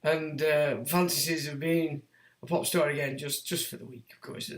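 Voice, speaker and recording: Hoarse guy rambling on a livestream, sits high for a man even when croaky, roomy echo. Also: normal speed. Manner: rambling, sardonic, talks to himself with fillers. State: hoarse, croaky from being sick. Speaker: livestream host. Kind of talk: rambling solo livestream monologue. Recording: echoey room.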